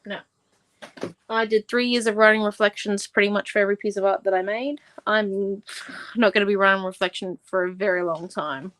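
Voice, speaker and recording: A woman's voice: medium pitch, steady tone, fairly quick, fairly bright tone, no room sound, poor audio. A woman in her twenties hosting a live art class from home, talking casually and rambling with lots of asides. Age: 20s. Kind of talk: casual livestream craft tutorial. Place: at home, in a warm room.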